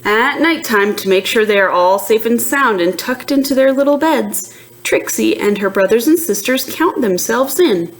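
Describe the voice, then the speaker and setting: A woman reading a children's picture book aloud in an expressive, deliberate teacher's manner, pausing to ask young listeners questions. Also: a little high, slight background noise.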